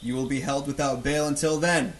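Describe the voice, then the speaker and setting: An actor playing a stern, commanding judge in an old-time radio drama. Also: male voice, medium pitch, fairly even intonation, fairly quick, heavy background noise, natural voice.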